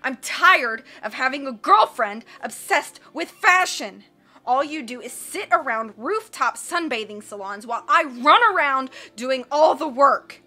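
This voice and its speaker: A woman's voice: sits high, lively intonation, measured pace, bright, forceful tone, voice pushed up, full character voice. A woman voicing a blustering, greedy villain who growls, sneers and roars at everyone. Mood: snarling. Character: greedy, blustering villain man, middle-aged. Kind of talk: audiobook character voice.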